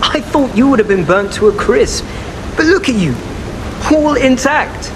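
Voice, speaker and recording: An actor playing a frank, cocky rogue brother in a video game. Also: male voice, very high-pitched, lively intonation, measured pace. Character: blunt, cocky rogue brother, sidekick schemer. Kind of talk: game character dialogue. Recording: rain-like noise behind.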